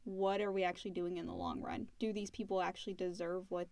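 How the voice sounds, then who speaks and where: A woman's voice: lowish voice, little pitch movement, fast talker, creaky, darkish tone, no echo. A woman co-hosting a podcast, chatting casually and reading out listener questions. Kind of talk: casual chat.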